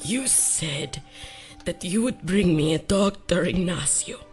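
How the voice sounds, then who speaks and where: A woman's voice: lowish voice, lively intonation, takes it slowly, a little mellow, faint music behind. A woman playing a solemn older village priestess, speaking cryptically and portentously in an audio drama. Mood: solemn, knowing. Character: solemn, mystical Cuban Santeria priestess mother. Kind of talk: immersive audio drama acting.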